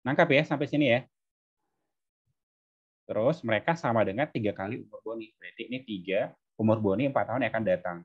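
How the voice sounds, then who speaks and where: A man's voice: fairly low pitch, quick pace, long pauses, breathy edge, lo-fi sound. A man teaching step by step as a tutor, informally, often checking that students follow. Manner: frequent checking questions to students. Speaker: tutor teaching exam maths.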